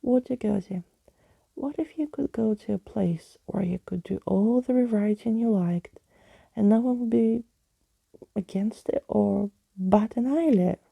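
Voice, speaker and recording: A woman's voice: mid-range voice, unhurried, gravelly, husky, dry recording, phone-quality sound, exaggerated voice. A woman voicing a kind, plucky young girl with an earnest, reassuring manner in a storybook.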